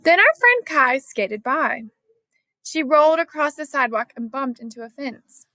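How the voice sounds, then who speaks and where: A woman reading a children's science story aloud as scripted narration, expressive and clearly phrased. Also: high-pitched, moderate pace, no echo. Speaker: storybook reader.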